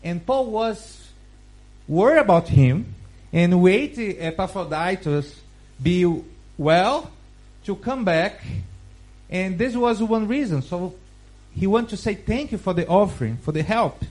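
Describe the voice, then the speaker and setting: Male preacher, Brazilian Portuguese accent, non-native English, slightly higher voice, slow and careful Bible reading. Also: lively intonation, a little mellow, rough recording. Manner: slow, careful, halting phrasing with restarts.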